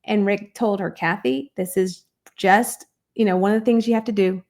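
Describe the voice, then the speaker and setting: A woman guest on a podcast, telling her life story in an earnest, animated way. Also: medium pitch, normal speed.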